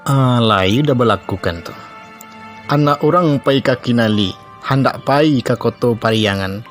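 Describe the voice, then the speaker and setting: A man's voice: mid-range voice, unhurried, lightly acted. Male, Minangkabau accent, acting doting cartoon husband, poetic sweet talk, music underneath. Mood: admiring, tender. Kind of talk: comic cartoon dialogue.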